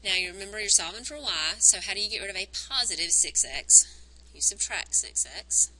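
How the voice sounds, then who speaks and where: A woman teacher recording a math lesson on a wired mic, explaining each step and counting points aloud, her voice worn and going hoarse. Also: medium pitch, animated, a little fast, sharp tone, slight background noise, rough recording. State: losing her voice. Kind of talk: recorded math lesson, working through graphs. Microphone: wired microphone on a cord.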